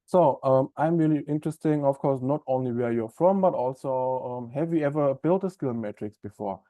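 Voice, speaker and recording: A man from Germany with a German accent presenting a live software webinar in a friendly, conversational way with many ums and uhs. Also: medium pitch, normal speed, no room sound, phone-quality sound.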